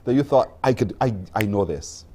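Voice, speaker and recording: A man hosts a morning television interview in an easy, chatty way. His voice swings up and down with lively intonation, and his long questions wander as he laughs along. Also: mid-range voice, moderate pace. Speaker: morning TV show host. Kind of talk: broadcast interview.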